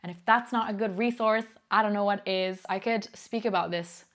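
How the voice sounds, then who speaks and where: A woman's voice: medium pitch, normal speed. Chatty young Italian woman vlogging. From Italy. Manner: chatty, candid, laughs easily. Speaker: knitting YouTuber. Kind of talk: casual Q&A vlog.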